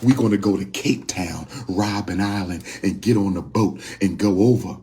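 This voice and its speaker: A man's voice: sits low, moderate pace, mellow, a little hiss or hum. A man in his own voice delivering a fiery motivational talk, repeating phrases over and over for emphasis. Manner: repetitive, rousing, hammering phrases for emphasis. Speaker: speaker promoting group tours.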